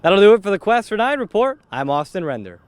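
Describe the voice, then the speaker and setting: Young man, sports host, slightly high clear voice, deliberate announcer phrasing. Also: sing-song, dry recording, clean studio sound. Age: young adult. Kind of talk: sports report and interview.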